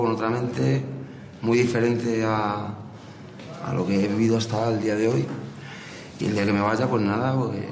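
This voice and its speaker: Gravelly low-voiced inmate man. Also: a little mellow, reverberant, big-room sound, a little hiss or hum.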